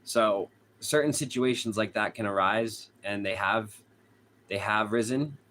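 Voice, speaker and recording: Man, Boston accent, low voice, chatty livestream host, faint fan hum behind. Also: measured pace, fairly bright tone. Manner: chatty, reads viewer comments aloud, laughs often. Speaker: true crime channel host. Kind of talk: casual livestream chat. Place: hot room at home.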